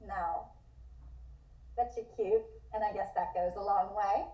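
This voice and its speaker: A woman's voice: mid-range voice, steady tone, measured pace, close to an everyday voice. A woman playing a sassy, guarded but friendly young woman at a script table read. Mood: teasing, breezy. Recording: muffled, echoey room.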